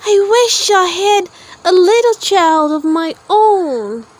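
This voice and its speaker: Woman playing a high-voiced, tender storybook mother. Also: animated, slow, full character voice. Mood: wistful. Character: lonely, tender woman longing for a child.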